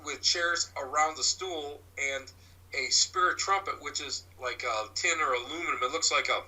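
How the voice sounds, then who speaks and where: Loud, forceful man explaining at length. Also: a little high, normal speed, low-quality recording. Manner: rambling, full of restarts and fillers. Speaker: paranormal investigator and medium. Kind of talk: interview guest, casual explaining.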